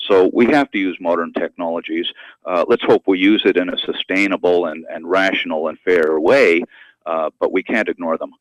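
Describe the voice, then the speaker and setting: A man speaking as an expert guest in a radio interview, explaining in a measured, educational manner. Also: sits low, steady tone, dry recording, muffled recording. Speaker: guest expert, university professor and author.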